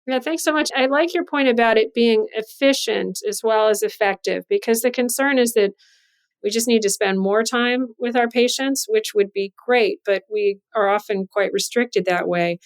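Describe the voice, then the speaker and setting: A woman's voice: on the high side, moderate pace. A woman hosting a podcast interview, introducing guests and putting questions to them. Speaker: podcast host and journal editor.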